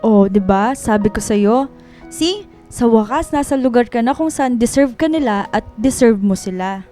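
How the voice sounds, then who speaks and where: A woman's voice: on the high side, animated, moderate pace, warm tone, faint music behind, close to an everyday voice. A woman playing a supportive, teasing young best friend in a radio drama, with an encouraging manner and a Filipino accent. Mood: playful. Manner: encouraging, playful. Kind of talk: radio drama acting, conversational.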